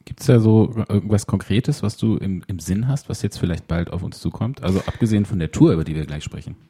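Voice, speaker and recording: A man's voice: sits low, fast, mellow, a little hiss or hum. A man working as an interviewer, asking conversational questions and searching a little for his phrasing.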